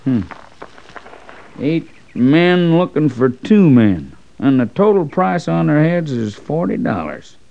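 A man's voice: fairly high pitch, big pitch swings, takes it slowly, creaky, mellow, heavily acted. A man playing a sly, grasping frontier settler who talks in a hesitant, wheedling rustic way in an old radio western. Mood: grudging, haggling. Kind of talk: 1940s-50s radio western acting. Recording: dull old radio recording.

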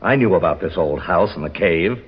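A scheming, greedy killer in an old radio crime drama. His voice is low and rough, defiant even when cornered, on a dull vintage recording. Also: moderate pace.

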